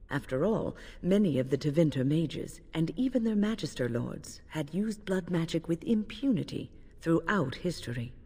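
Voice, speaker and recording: An actress playing a composed, wise older female mage in a video game, speaking in a measured, earnest, instructive way. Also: sits low, warm tone, husky, full character voice. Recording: long hall reverb, music behind.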